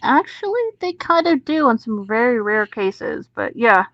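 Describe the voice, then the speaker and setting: A woman's voice: on the high side, lively intonation, unhurried, fairly bright tone, highs cut off. A woman co-hosting a casual conversation and explaining things informally.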